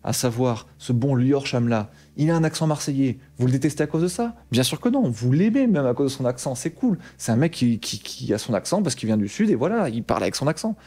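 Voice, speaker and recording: A man with a Bordeaux French accent talks casually to camera as a tech YouTuber. He is animated and slangy, with a mildly exasperated tone. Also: medium pitch, fairly quick, few pauses.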